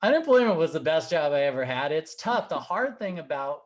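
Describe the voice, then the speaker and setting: A young man doing stand-up over a video call, dry and self-mocking with quick punchlines. His voice sits a little high, and the audio has a plain home-computer sound. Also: very animated, a little hiss or hum. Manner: self-deprecating, punchy one-liners. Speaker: comedian and host. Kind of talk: stand-up comedy set.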